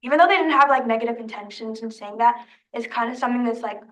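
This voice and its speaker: A woman's voice: fairly high pitch, quick pace, fairly bright tone, distant mic, slight background noise. A young woman from Nigeria sharing a personal story, casual and reflective with lots of "like". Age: young adult. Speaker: student discussion co-leader.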